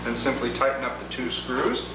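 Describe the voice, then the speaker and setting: A man giving an instructional product demo, explaining each step in a deliberate, methodical way. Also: mid-range voice. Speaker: product demonstrator. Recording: roomy, echoing, noisy 1980s demo tape, distant mic.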